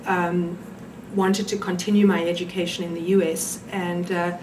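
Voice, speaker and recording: A woman's voice: lowish voice, level tone, moderate pace, darkish tone, echoey room, distant mic, a little hiss or hum, poor audio. A woman from South Africa with a South African accent, speaking as an interview guest in a reflective, conversational way. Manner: reflective, with fillers and restarts.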